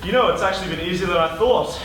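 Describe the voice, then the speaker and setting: In a very echoey, distant hall recording, a man acts a boastful superhero posing as a reporter. The high-pitched voice stammers and backtracks through broad stage comedy, vain and bumbling throughout. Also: rapid-fire, a bit bright. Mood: smug, confiding. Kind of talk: broad comic stage acting. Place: live stage performance in a hall. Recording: echoey hall, distant camera mic, noisy.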